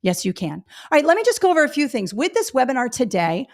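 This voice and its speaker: A woman's voice: high-pitched, very animated, fast. Encouraging woman teaching a webinar. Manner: encouraging, conversational, frequent fillers and "okay?" checks. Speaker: test-prep instructor. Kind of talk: live webinar teaching and reading chat questions.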